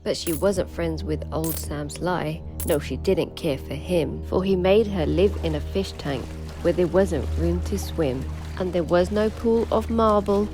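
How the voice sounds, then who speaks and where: A woman reading a children's picture book aloud in an expressive, sing-song storytelling manner. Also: fairly low pitch, measured pace, over music. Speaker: teacher reading stories for children.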